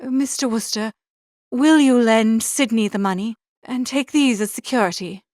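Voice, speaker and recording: A woman's voice: a little high, normal speed, a little mellow, no room sound, studio quality, close to an everyday voice. Woman playing a demure, pleading young lady. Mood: anxious pleading. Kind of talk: audiobook character reading.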